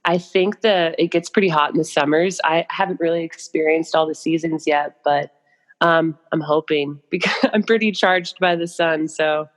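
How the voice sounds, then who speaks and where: A woman's voice: sits low, steady tone, moderate pace, long reverb tail. A young adult American woman speaking as a podcast guest, telling stories casually in loose, informal phrases with many asides. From United States. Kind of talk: casual conversational storytelling.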